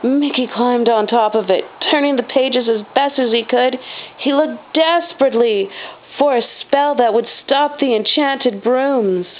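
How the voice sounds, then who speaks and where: A woman reading a children's storybook aloud as a mother does at home, with expressive stress on the dramatic words. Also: on the high side, unhurried. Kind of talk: storybook read-aloud narration. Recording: dull, lo-fi home recording.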